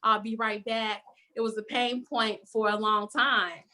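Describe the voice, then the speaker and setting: A woman from the west side of Chicago with a Chicago accent, talking openly with a panel. Her voice is bright and sits a little high. She thinks aloud and lets "um" fill the gaps, heard through a video call. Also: little pitch movement. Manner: reflective, with frequent "um" pauses. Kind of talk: candid personal sharing on a video call panel.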